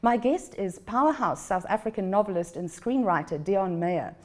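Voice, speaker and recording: A woman's voice: medium pitch, lively intonation, moderate pace. A woman hosting a book interview show, asking questions and giving short encouraging backchannels. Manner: friendly, with brief encouraging backchannels. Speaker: host. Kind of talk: interview conversation.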